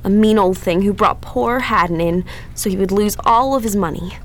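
A woman's voice: medium pitch, moderate pace, slight background noise, close to an everyday voice. An actress playing a frightened, sugary Southern married woman in a radio drama, with a folksy, hesitant way of talking. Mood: ashamed. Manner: fluttery, folksy, hesitant stammer. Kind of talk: old-time radio drama acting.

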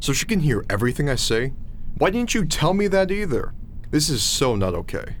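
A man's voice: fairly high pitch, sing-song, fairly quick, slight background noise. An actor playing an earnest, sheltered young college freshman in an audio drama, hesitant and incredulous. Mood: upset, accusing.

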